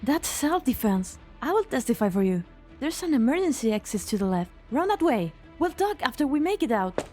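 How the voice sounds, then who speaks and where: A woman's voice: high-pitched, very animated, brisk, warm tone. A woman voicing a brave young woman, urgent and earnest. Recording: dry close mic, faint music.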